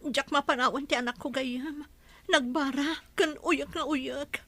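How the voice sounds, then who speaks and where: A woman's voice: high voice, steady tone, unhurried, sharp tone, no room sound, exaggerated voice. A woman playing an anxious, long-suffering young mother in a radio drama, plaintive and earnest. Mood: pleading.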